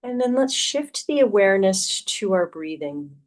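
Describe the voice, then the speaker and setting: A woman yoga teacher leading a guided meditation in a soothing, gently instructive way, leaving long pauses between phrases. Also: mid-range voice, big pitch swings.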